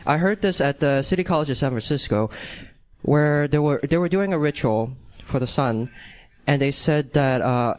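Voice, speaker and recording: A man from Hong Kong, an audience member, making a long earnest comment with many restarts. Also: on the high side. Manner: halting, repeats words, earnest. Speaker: audience member asking a question. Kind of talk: rambling call-in style comment.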